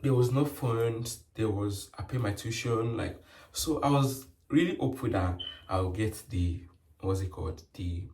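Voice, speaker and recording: A man's voice: lowish voice, unhurried, darkish tone. A young man with a Nigerian accent, chatting casually in a rambling vlog story full of fillers. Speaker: nurse vlogger. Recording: roomy sound from a distant mic.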